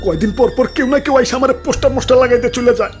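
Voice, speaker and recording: Shrill, whining, neglected wall. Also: male voice, rapid-fire, soft background music. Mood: aggrieved. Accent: Bangladeshi, Dhaka-area dialect. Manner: whining, aggrieved. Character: neglected city wall, self-pitying, indignant victim. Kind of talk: comic cartoon monologue.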